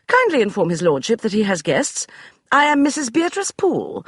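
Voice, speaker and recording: A man doing a crisp, commanding Regency lady. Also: very high voice, huge pitch swings, normal speed, a bit bright, dry recording, clean studio sound, voice pushed up, exaggerated voice. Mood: imperious. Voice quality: cool, crisp voice. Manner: commanding, brooks no argument. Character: commanding upper-class Regency lady, short, imperious.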